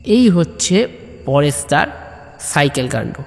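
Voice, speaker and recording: A man's voice: fairly high pitch, sing-song, takes it slowly, creaky. A man narrating a comic audio story in a conversational way, addressing listeners directly. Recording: long reverb, music behind.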